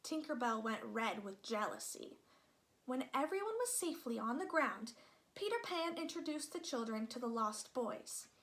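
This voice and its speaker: A woman reading a children's story aloud as an expressive narrator. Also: a little high, moderate pace, low-quality recording. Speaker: storybook reader.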